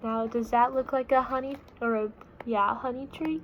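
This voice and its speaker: A woman reading a picture book aloud to children, explaining simply and pausing to put questions to her listeners. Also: fairly high pitch, fairly even intonation, lo-fi sound, slight background noise. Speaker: read-aloud storyteller.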